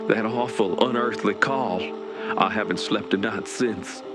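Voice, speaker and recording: Shaken man, acted, low voice, spoof advert testimonial over loud music. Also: a little slow, lightly acted. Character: shaken male camper giving a testimonial. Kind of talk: parody commercial testimonial.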